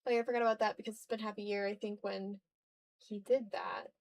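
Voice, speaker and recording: A woman's voice: steady tone, quick pace, creaky, darkish tone. Tired woman in casual livestream chat. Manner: rambling, low-key, chatting to viewers. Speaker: streamer hosting a live art stream.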